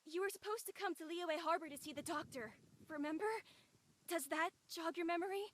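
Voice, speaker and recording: An actress voicing an earnest, devoted, worried young son in a video game, speaking politely. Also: female voice, very high-pitched, fairly quick, a bit bright. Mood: anxious, gentle.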